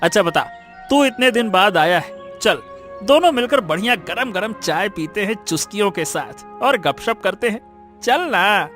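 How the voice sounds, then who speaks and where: A man's voice: high voice, lively intonation, a little slow, a bit bright, faint music behind. A man playing a sarcastic, money-minded village shopkeeper in a cartoon story, with a teasing, exclamatory way of talking. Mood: chummy, coaxing. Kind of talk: cartoon moral story acting.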